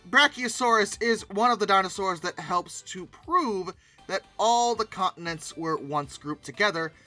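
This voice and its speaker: A man's voice: high-pitched, bright, forceful tone. A man giving casual commentary and reading text aloud, breaking in with sarcastic, exclamatory asides. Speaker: host commentating on old dinosaur art.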